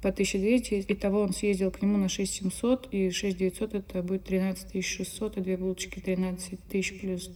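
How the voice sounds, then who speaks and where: A woman's voice: medium pitch, little pitch movement, fast talker, hardly any pauses, dark tone, close to an everyday voice. A woman, an actress, reeling off an itemised everyday monologue in an audio play.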